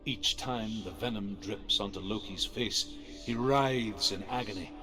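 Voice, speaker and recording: Actor as grave old-myth storyteller guy, low voice, measured game narration, music behind. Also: natural voice. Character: solemn male storyteller of old Norse myths. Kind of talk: scripted mythic narration in a video game.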